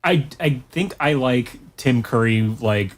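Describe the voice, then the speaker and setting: A man's voice: mid-range voice, lively intonation, takes it slowly, a little hiss or hum. A man speaking as a guest in casual, conversational chat.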